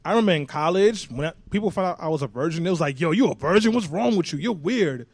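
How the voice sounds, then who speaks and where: A man's voice: a little high, expressive, fast talker, slight background noise. A young man from New York, co-hosting a podcast and telling candid personal stories in casual chat, swearing freely. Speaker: podcast co-host.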